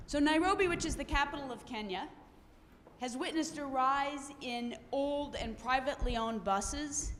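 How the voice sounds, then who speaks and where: A woman moderating a conference panel, introducing speakers briskly and stumbling over a name. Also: high-pitched, a bit bright. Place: conference hall. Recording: long hall reverb, faint room noise.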